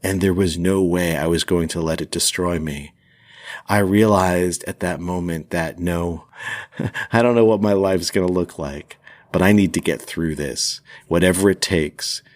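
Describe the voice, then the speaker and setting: A man's voice: low voice, steady tone, unhurried. A man telling a personal story as a podcast host, reflective and earnest, leaning on key words.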